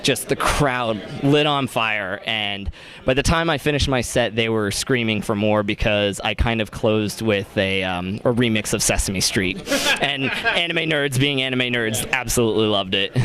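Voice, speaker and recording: A man's voice: lowish voice, animated, unhurried, a crowd in the background. A young adult man who works as a DJ, talking casually as an interview guest.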